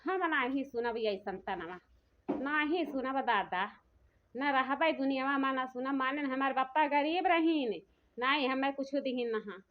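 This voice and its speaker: A woman's voice: sits high, unhurried. A woman with a rural eastern Uttar Pradesh accent voicing an aggrieved, plaintive daughter-in-law in a comedy cartoon, rambling and repeating her complaints. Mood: wailing grievance, then bitter complaint. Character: aggrieved elder daughter-in-law, weary of taunts, threatening to leave. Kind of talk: dialect comedy cartoon dialogue. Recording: dull lo-fi cartoon audio.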